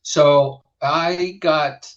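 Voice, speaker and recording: A man's voice: fairly high pitch, fairly even intonation, drawn-out words, a bit bright, lo-fi sound. A man in his sixties from the Netherlands, telling his life story as a musician guest in a casual interview, with many restarts and fillers.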